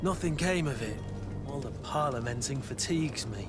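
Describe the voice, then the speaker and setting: An actor playing a blunt, world-weary but loyal mercenary bodyguard in a video game, with dry delivery. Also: male voice, mid-range voice, expressive, normal speed, over music, natural voice. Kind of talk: video game cutscene acting.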